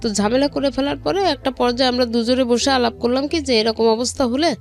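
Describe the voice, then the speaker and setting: A middle-aged woman with a Bangladeshi accent tells a personal story in an interview. Her voice sits a little high, and she talks conversationally, recounting exchanges in detail. Soft music plays behind her. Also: fairly even intonation, brisk. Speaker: guest telling own life story. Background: background music.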